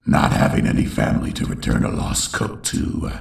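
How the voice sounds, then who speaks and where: A man voicing a brooding villainous pony king who narrates his childhood dramatically in a very deep, gravelly voice. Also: moderate pace, darkish tone, close to an everyday voice. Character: brooding villainous pony king, self-styled king of all monsters, recounting childhood. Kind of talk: dramatic first-person narration. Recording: echoing, distant-sounding voice track.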